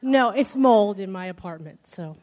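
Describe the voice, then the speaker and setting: A woman's voice: medium pitch, lively intonation, moderate pace, a little mellow. A woman volunteering from the audience, congested with a tight throat, rambling and laughing at herself.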